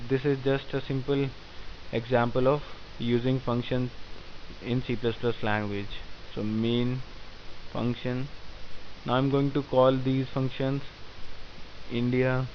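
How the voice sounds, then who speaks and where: A man teaching programming in a screen-recorded tutorial, explaining code step by step in a methodical way. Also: medium pitch. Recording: dull lo-fi sound with faint hiss.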